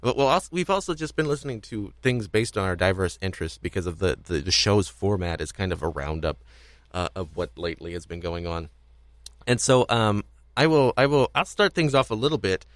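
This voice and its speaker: A man's voice: deep voice, lively intonation, brisk, no echo. A man hosting a casual podcast in a rambling, hesitant way, often repeating himself. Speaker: podcast host.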